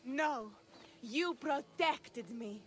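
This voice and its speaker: An actress playing a devout, timid young shepherdess in a video game, halting and breathlessly reverent. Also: female voice, sits high, expressive, a bit bright, exaggerated voice. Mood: shaken. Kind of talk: video game cutscene acting.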